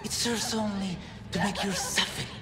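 An actor playing a sinister cult villain in a video game, theatrical and mockingly courteous. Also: male voice, high-pitched, fairly even intonation, normal speed, over loud music, close to an everyday voice. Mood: gloating menace.

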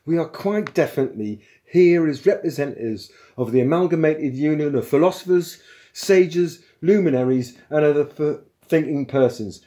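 A man's voice: on the high side, moderate pace, dark tone, pitched above the performer's own voice, lightly acted. A man voicing an irritable older philosopher in an audiobook, officious, insistent and indignant.

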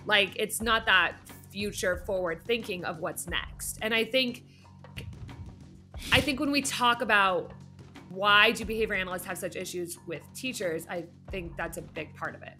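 A woman's voice: mid-range voice, normal speed, pushed and bright, soft background music. A woman co-hosting a casual discussion, talking emphatically and repeating phrases to make her point. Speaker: podcast co-host, behavior analyst.

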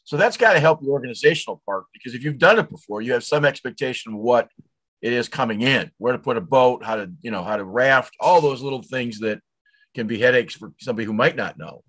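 A man's voice: medium pitch, lively intonation, fast talker, fairly bright tone. Chatty older male interview host. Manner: chatty, rambling questions with fillers. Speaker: show host. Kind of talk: interviewing on a video call. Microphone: video call.

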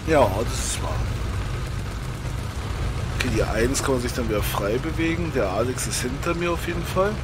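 A man with a cold, hoarse, and a German accent, relaxed and chatty as he comments on a tank game match he is replaying. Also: medium pitch, normal speed, pauses often. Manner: relaxed, chatty, often says "mein Gott". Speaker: gaming channel host. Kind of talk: casual gameplay commentary. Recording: noisy game audio behind. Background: tank game sounds.

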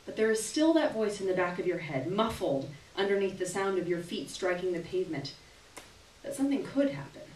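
A young woman gives an expressive, theatrical reading of a literary short story at a live event, with deliberate phrasing and dramatic pauses. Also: on the low side, a little hiss or hum. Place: small indoor gallery reading event. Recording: roomy live venue, distant camera microphone.

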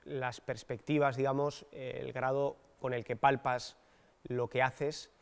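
A man in his thirties, a political candidate, giving thoughtful, hesitant interview answers with many fillers and restarts on a dark studio set. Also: medium pitch, level tone, a little slow, creaky, clean tone, in a large hall. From Basque Country, Spain.

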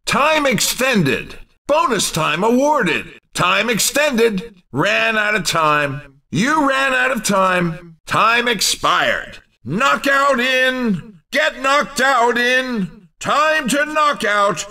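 An excitable arcade racing game commentator with a wildly swooping, forceful voice. He draws out short, theatrical calls very slowly, with a teasing edge. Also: very high voice, far from the mic, full character voice. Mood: excited. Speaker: video game race commentator.

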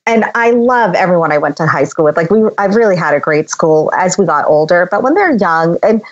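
A woman's voice: on the low side, expressive, brisk, lo-fi sound. A woman in her forties from Boston, chatting candidly as a podcast guest, laughing often and acting out bits of dialogue. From Boston, USA. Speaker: interview guest, interior designer. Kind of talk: casual candid chat.

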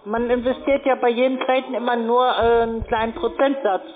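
A woman's voice: on the high side, fairly even intonation, brisk. A woman making a sales call in unscripted conversation, speaking a little hesitantly and adding tag questions. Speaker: trading sales caller. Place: phone call. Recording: tinny phone line.

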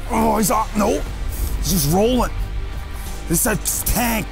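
Excitable gravelly-voiced fishing host. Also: male voice, sits high. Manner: excitable and jokey, exclaiming often. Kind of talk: excitable on-the-water fishing chat. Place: outdoors on a boat on a lake. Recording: music under the voice.

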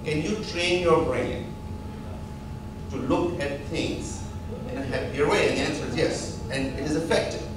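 A man's voice: a little high, unhurried, far from the mic. Man lecturing in an echoey auditorium. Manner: animated, emphatic, counting off points. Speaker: speaker at a medical seminar. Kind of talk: motivational talk. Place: large auditorium with seated audience. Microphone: handheld microphone. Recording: echoey hall, filmed from afar with noise.

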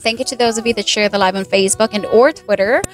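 A young woman from the Philippines with a Filipino accent, slightly hoarse, chatting quickly to viewers into a handheld mic during a home livestream. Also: mid-range voice, faint music behind. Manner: quick, chatty, mixes in Tagalog words. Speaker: livestream singer and host. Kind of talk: casual livestream chat between songs. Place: living room indoors. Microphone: handheld microphone.

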